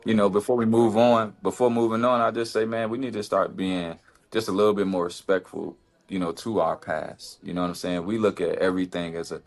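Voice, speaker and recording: A man's voice: fairly even intonation, fairly quick. A man co-hosting a podcast, talking in an impassioned, casual way and firing off rhetorical questions. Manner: impassioned, rhetorical questions, swings to high exclamations. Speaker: podcast co-host. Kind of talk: casual opinion talk.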